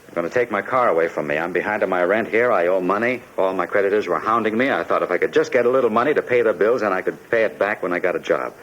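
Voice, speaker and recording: A man's voice: deep voice, fast talker, few pauses, fairly bright tone. An actor playing a desperate, bitter immigrant man haunted by his past, insistent and wrought up, in a 1950s radio drama. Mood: anguished, pleading. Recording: dull, noisy 1950s radio recording.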